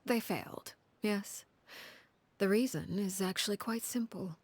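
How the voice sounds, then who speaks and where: An actress playing a cold, composed woman who leads an orphanage in a video game, speaking softly and breathily in a measured, ominous way. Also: mid-range voice, no room sound. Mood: calm, grave. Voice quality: soft, breathy, husky. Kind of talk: scripted video game voice acting.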